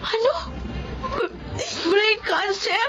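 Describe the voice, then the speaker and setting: A woman's voice: very high-pitched, steady tone, extremely slow, raised voice, full character voice. An actress playing a caring, anxious schoolgirl younger sister in a Filipino melodrama, earnest and concerned. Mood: worried. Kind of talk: Filipino radio-style melodrama acting. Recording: muffled lo-fi audio, clear background noise.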